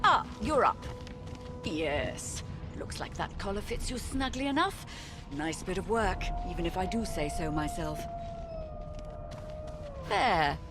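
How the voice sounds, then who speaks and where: Smug, mock-sweet female jailer, swooping pitch. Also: very animated, takes it slowly, long pauses, over music. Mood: breezy, smug. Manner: patronising, teasing, mock-sweet. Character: smug, condescending female prison-ship magister, mockingly sweet jailer. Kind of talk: video game voice acting.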